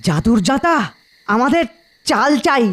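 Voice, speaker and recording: A woman's voice: high-pitched, animated, measured pace. A woman voicing a humble, hopeful, poor village husband in a cartoon folk tale, speaking in an earnest, reassuring manner. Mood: grateful, hopeful.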